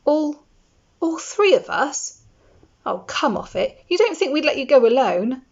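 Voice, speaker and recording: A woman's voice: sits high, sing-song, measured pace, voice pushed up, heavily acted. A woman voicing a blunt, teasing schoolboy wizard, on a dull lo-fi recording. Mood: worried.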